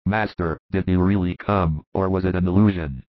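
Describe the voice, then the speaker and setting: A man voicing a humble male disciple who recounts in an earnest, reverent way, lower than the narration. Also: steady tone, moderate pace, no echo, close to an everyday voice. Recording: muffled dry recording.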